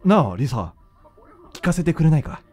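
An actor playing a gentle, devoted young man who hides his heartbreak, in a Japanese radio drama. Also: a little high, big pitch swings, normal speed. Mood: tender, holding back. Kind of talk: radio drama acting with narration.

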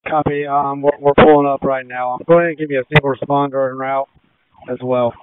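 A man, an emergency responder at a scene, giving halting, businesslike updates over two-way radio. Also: mid-range voice, level tone, crowd noise. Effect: radio transmission. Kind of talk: operational radio traffic. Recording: dry, dull two-way radio audio.

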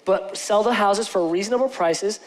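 A pastor in his forties talks with earnest, conversational energy and often restarts his sentences. His voice sits high for a man. It rings out through a large church hall with a long reverb. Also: normal speed. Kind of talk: sermon with church announcements. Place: large church stage with lighting.

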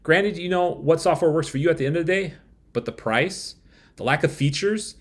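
A man in his thirties giving a frank, critical software review from a room at home, talking into a desk webcam. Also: on the high side, moderate pace. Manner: frank, critical, plain-spoken. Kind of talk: casual video review. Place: home room with window blinds.

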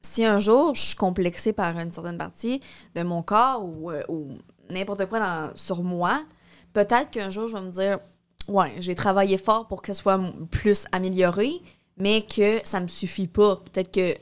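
A woman's voice: mid-range voice, moderate pace. Young Québécoise woman chatting informally. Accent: Québécois French. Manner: informal, hesitant, peppers speech with English words. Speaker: podcast co-host. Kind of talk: casual discussion. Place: small indoor podcast studio. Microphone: close studio microphone on boom arm.

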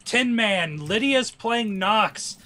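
Wisecracking man with a bright voice. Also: high voice, animated. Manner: quick, wisecracking. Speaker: stream co-host. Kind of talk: casual gaming stream banter.

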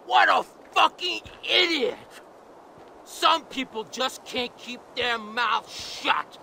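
An actor playing a menacing, smug biker villain, taunting theatrically in a gravelly voice for a video game. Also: male voice, very high voice, expressive, slow, pushed and bright, slight background noise, heavily acted. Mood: exasperated. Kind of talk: video game cutscene acting.

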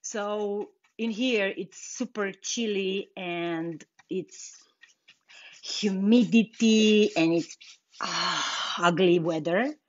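A woman's voice: medium pitch, extremely slow, lo-fi sound. A young woman from Romania with a Romanian accent, chatting unhurriedly through a live furniture painting tutorial and laughing easily. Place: indoor workshop with bare wall.

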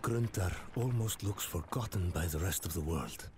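A man acts out a low-voiced, wistful traveller from a fantasy game, musing slowly, with some noise behind the voice. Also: animated, darkish tone.

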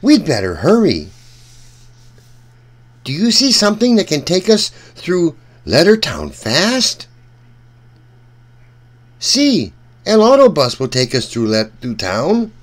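A man reading a storybook aloud for children in an animated, deliberate way, speaking directly to young listeners. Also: fairly high pitch.

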